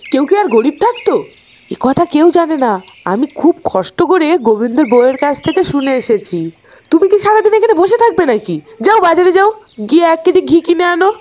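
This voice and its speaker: A woman playing an excitable, gullible, pushy neighbour wife in a cartoon, with a dramatic, insistent delivery. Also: sits high, normal speed. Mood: impatient. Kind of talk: Bengali cartoon voice acting.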